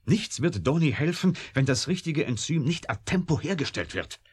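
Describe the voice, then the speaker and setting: Ein Schauspieler spielt in einem deutschen Hörspiel einen verbitterten, trotzigen Wissenschaftler mit sardonischer, eindringlicher Art. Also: male voice, on the high side, very animated, moderate pace, breathy edge. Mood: urgent, alarmed. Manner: sardonic, insistent, intense. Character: embittered, urgent, defiant male computer scientist. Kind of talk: German radio drama acting.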